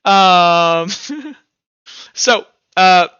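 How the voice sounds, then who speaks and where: High, bright-voiced male game master. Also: little pitch movement, clear, resonant tone, no echo. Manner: halting, repeats and restarts phrases. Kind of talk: improvised tabletop game narration and rules talk. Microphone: voice call over Discord. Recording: dull, lo-fi online voice chat.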